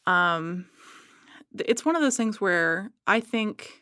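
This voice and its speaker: A woman talking casually as a podcast guest, rambling a little and haltingly as she thinks aloud. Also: medium pitch, expressive, sharp tone, smooth, dry recording, studio quality.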